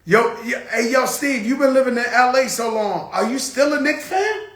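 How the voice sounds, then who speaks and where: A man's voice: very high-pitched, lively intonation, moderate pace, a bit bright, reverberant. A man hosting his own show, talking in a blunt, profane, rambling casual monologue. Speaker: show host.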